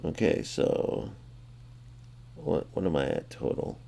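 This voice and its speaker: A man with a somewhat low voice hosts a gaming channel in a loose, chatty way. He talks straight to his viewers as guys and thinks aloud, working through numbers under his breath. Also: very animated, slow, gravelly, mellow, slightly rough. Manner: thinks aloud, muttering sums to himself. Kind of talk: casual game commentary.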